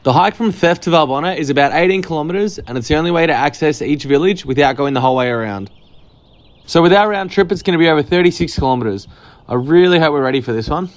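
Brisk, upbeat male travel narrator. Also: fairly high pitch, expressive. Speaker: travel vlogger. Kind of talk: voice-over narration. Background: music.

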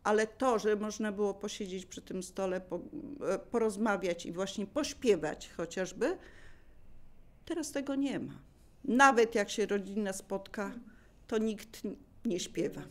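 A woman casually sharing memories of family life, in the relaxed style of oral history. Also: mid-range voice, unhurried. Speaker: local resident sharing memories.

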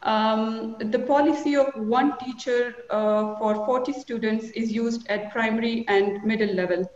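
A woman in her forties with a Pakistani accent, giving a measured conference talk full of figures from a small office, with fillers between numbers. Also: on the high side, a little mellow. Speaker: conference panelist. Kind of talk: formal presentation with statistics. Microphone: video call webcam. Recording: echoey lo-fi video call.

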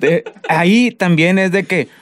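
A man's voice: high voice, a little slow, slight background noise. A man, telling crude, joking stories as a radio podcast co-host. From northern Mexico. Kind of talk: casual comedic chat. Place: radio studio. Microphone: headset with studio mic.

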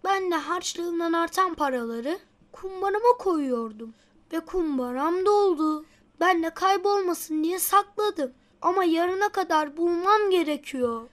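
A very high, childlike voice of a little schoolboy in a children's cartoon. He sounds earnest and anxious, like a sincere small kid. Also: expressive, unhurried. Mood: worried.

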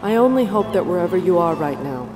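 A wistful biker woman voiced by an actress in an anime parody. She is earnest and sentimental, her lowish voice in heavy reverb over music. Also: animated, fast talker, lightly acted. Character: wistful, confident adult female duelist biker. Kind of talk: anime parody fan dub. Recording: echoing reverb under music.

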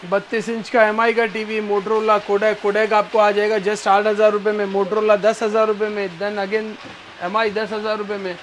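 A man's voice: flat delivery, normal speed, a little hiss or hum, low-quality recording. A man in his thirties from India, walking through a cluttered electronics warehouse on a lapel mic, eagerly reeling off prices and calling the viewer brother. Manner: pitches prices eagerly, calls everyone bhai. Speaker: warehouse seller showing stock. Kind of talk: energetic sales walkthrough.

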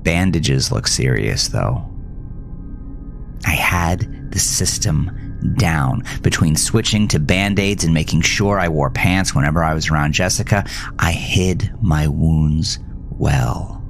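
Very deep, gravelly male horror storyteller. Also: slow pace, faint music behind. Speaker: horror podcast narrator. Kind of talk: dramatic scripted first-person horror storytelling.

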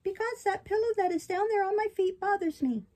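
A woman's voice: extremely high pitch, fairly quick, darkish tone, voice pushed up. A woman voicing a stubborn wooden puppet boy in a storybook, childish and thin, with plaintive protests. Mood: complaining.

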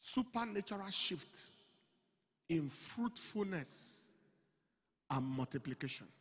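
A middle-aged man preaching a sermon into a handheld mic on a large church stage. He goes from slow, measured phrases to loud, emphatic declarations. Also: a little high. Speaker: pastor. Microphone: handheld microphone. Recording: long hall reverb, slightly breathy.

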